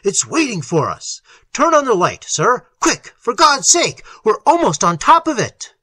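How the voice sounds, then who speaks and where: Pulp horror scientist, man performing: commanding, urgent, emphatic, raspy edge, forceful delivery. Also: high voice, huge pitch swings, unhurried, dry recording, voice pushed up, heavily acted. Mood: frantic, alarmed. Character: urgent male scientist at the wheel, commanding, explaining monster lore. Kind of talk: dramatized horror story reading.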